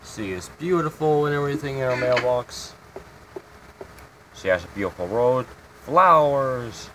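A man's voice: mid-range voice, expressive, a little slow, background noise. A man hosting his own game channel, giving a casual, rambling tour of his builds with lots of fillers.

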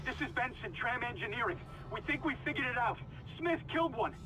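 A man's voice: very high-pitched, animated, fairly quick, background noise. A man acting a panicked engineer leaving a desperate audio log in a video game.

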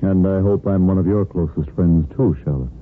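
An actor playing a kindly older hospital chief of staff in a 1950s radio soap, reassuring and paternal. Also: male voice, sits low, moderate pace, natural voice. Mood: concerned. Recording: muffled, noisy 1950s radio recording.

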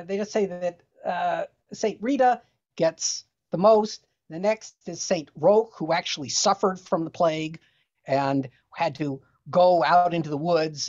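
A woman's voice: low voice, darkish tone. Hesitant woman on a dull-sounding call. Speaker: panelist. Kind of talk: casual explanation to a panel. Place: indoor room, video call.

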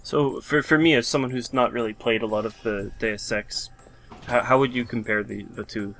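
A man's voice: medium pitch, fairly even intonation, normal speed, a bit bright, faint music behind. A man co-commentating on gameplay in casual chat, with an inquisitive way of talking.

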